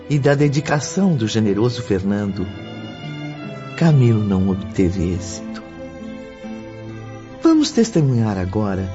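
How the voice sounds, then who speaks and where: A man narrating a scripted radio drama in a solemn, literary, measured manner. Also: lowish voice. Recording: muffled radio drama with music bed.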